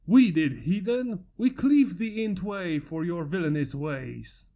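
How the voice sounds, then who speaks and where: A woman's voice: on the low side, lively intonation, slow pace, dull audio, pitched below the performer's own voice. A woman voicing a grandiose male pony knight who proclaims his lines in old-fashioned, overblown speech. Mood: pompous. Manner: archaic dramatic proclaiming.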